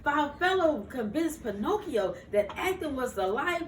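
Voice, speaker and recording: An older woman reads a children's story in a high, very animated voice. She is expressive and sometimes corrects herself. The recording is roomy and distant, with clear background noise. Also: a little slow. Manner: expressive, with stumbles and self-corrections. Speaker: grandmother reading to her grandchildren. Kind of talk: animated bedtime storybook reading. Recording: roomy, noisy home recording, far from mic.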